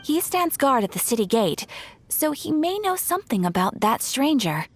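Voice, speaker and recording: A woman's voice: sits high, sing-song, moderate pace, slight background noise. A woman playing a courteous, helpful young guild receptionist in an English game dub, speaking politely and formally with encouragement. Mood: polite, encouraging.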